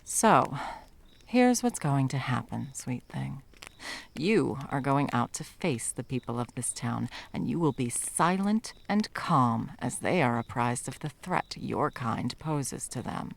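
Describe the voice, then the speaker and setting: A woman playing a stern, condescending yet tender monster hunter in an ASMR roleplay, commanding and dropping often into breathy whispers. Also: extremely low pitch, expressive, slow, exaggerated voice. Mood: controlling, menacing. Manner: commanding, condescending, with pet names.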